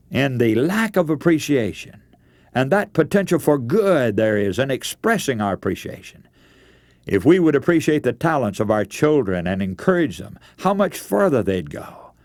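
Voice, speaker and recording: A man's voice: medium pitch, sing-song, normal speed, a little mellow, no echo. A man preaching a radio sermon, giving practical advice in an earnest, instructive way. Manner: earnest, instructive, addresses listeners as friends.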